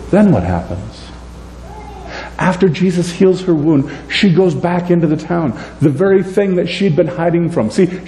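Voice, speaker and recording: A man in his forties preaching a sermon in a church, animated and gesturing, moving between loud and soft. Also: a little high. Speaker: pastor. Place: church sanctuary, indoors. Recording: echoey church room, faint hum.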